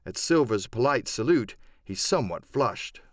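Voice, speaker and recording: A man narrating a classic adventure audiobook in an expressive, dramatic storytelling manner. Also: mid-range voice, moderate pace, no echo, studio quality. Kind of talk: dramatic scripted literary narration.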